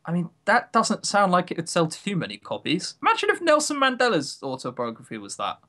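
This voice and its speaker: Podcast co-host, medium pitch, wry, sarcastic, casual chat, voice call. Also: wildly swooping pitch, fairly quick, pushed and bright. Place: remote voice call.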